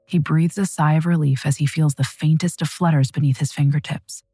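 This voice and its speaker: A woman's voice: low voice, fairly even intonation, a little fast, mellow, slightly rough, no echo, soft background music. A woman hosting a true crime podcast, reading a scripted, dramatic story.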